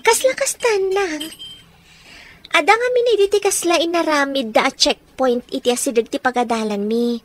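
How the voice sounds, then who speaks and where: A woman plays a young daughter in an Ilocano radio drama. She has a very high voice and an Ilocano accent, talking in a chatty, confiding way. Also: moderate pace, lightly acted.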